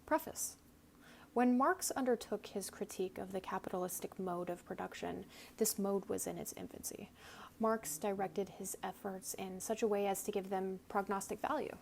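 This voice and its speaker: A woman reads an essay aloud as a dramatic performance, deliberate and theatrical, with pointed pauses. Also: mid-range voice, steady tone, vocal fry, a little mellow. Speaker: reader. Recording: noticeable background noise.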